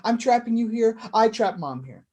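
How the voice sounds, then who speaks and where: A woman's voice: on the high side, lively intonation, moderate pace, dark tone, lightly acted. A woman playing a wounded, defensive, self-blaming younger brother in a naturalistic staged play reading. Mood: hurt, bitter.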